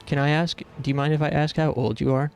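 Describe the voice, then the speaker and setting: A man's voice: fairly high pitch, steady tone, fast, vocal fry, clear, resonant tone, soft background music. A man in his thirties from the United States, chatting casually and earnestly with stream viewers, rambling with lots of fillers. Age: 30s.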